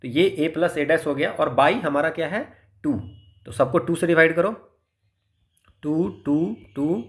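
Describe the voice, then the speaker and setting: A man's voice: a little high, unhurried. A man with an Indian accent teaching maths step by step, mixing Hindi and English and often answering his own questions. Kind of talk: exam problem-solving lesson, explaining step by step.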